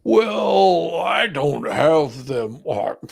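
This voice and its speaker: A man performs a folksy, evasive attorney being deposed in a comic reading. The voice is raspy and full of fry, and he takes his time. He hedges, stammers and blusters his way around each answer. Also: fairly high pitch, sing-song, extremely slow, exaggerated voice. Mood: defensive, hedging. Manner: drawling, hedging, stammering evasions.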